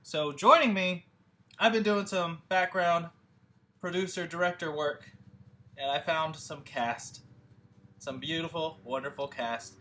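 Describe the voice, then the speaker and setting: A man's voice: a little high, a little slow, long pauses, sharp tone. A man, a producer and director, hosting a table read in a casual, rambling chat full of fillers and restarts. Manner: hesitant, many fillers and restarts.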